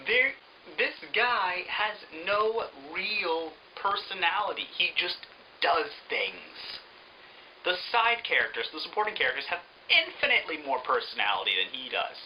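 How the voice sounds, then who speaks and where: A man's voice: high voice, big pitch swings, measured pace, pushed and bright, a little hiss or hum, poor audio. A man giving an opinionated book review in a sarcastic, emphatic manner.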